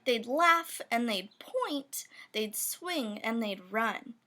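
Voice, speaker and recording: A high-voiced woman reads a children's picture book in rhyme. She takes it slowly, with big swoops in pitch and playful, expressive emphasis on the sound words. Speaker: storybook reader. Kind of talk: children's picture book read-aloud, rhyming verse.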